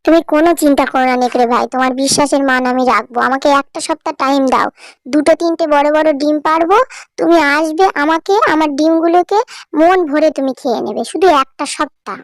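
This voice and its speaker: A small, clever mother sparrow from a cartoon played by a woman. Her voice is very high, almost like a child's. She pleads and persuades in a coaxing way, with no room sound around her. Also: fast, no room sound, clean studio sound. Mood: pleading, coaxing. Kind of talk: cartoon voice acting.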